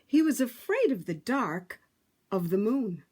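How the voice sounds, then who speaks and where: A woman reading a picture book aloud to children, with dramatic, emphatic phrasing and drawn-out words. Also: fairly high pitch, very animated, a little mellow, slightly rough.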